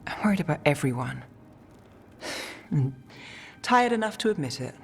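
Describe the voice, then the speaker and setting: A woman's voice: deep voice, sing-song, moderate pace, gravelly, long reverb tail, slight background noise, heavily acted. A woman playing a weary, guarded, streetwise investigator in a video game, clipped and wry.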